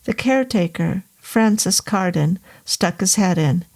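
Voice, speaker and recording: A woman reading a horror novel aloud as narrator, in a measured, even way. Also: mid-range voice. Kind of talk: audiobook narration.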